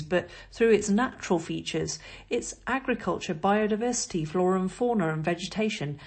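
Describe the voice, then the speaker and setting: A woman hosting a podcast, reading measured, informative scripted narration. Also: fairly low pitch, a little mellow, breathy edge. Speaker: podcast host.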